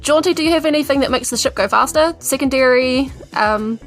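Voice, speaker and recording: A woman's voice: high-pitched, brisk. A woman player chatting casually during a tabletop role-playing game. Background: faint music.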